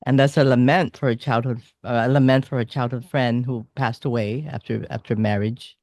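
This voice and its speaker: A man hosting a casual music interview, forming his questions haltingly and repeating phrases. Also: mid-range voice, steady tone, a little mellow, no room sound. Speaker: interviewer, music show host.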